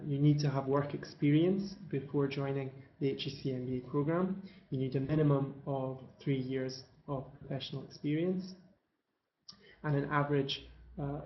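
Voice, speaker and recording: A man presenting a business school admissions webinar, speaking in a measured way with frequent fillers and restarts. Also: medium pitch. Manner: measured, halting with many fillers. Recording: echoey, dull lo-fi webinar audio.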